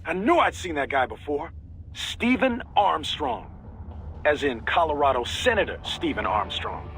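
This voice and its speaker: Kev, higher-voiced earnest male support officer. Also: sing-song, measured pace, a bit bright, a little hiss or hum, full character voice. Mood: intent. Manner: emphatic, explanatory. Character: earnest, exasperated male support officer, cautious and law-minded. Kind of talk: video game voice acting. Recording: music behind.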